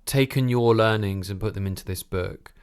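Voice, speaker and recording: A man interviews a guest in a conversational podcast style. His voice is on the low side, smooth and clear. He phrases his questions thoughtfully, feeling his way towards the point. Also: moderate pace, a little mellow.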